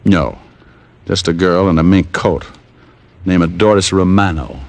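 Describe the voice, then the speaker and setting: A man's voice: low voice, measured pace. A man playing a wisecracking private detective in a 1950s radio drama, narrating and talking with dry, sardonic delivery. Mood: glib, cool.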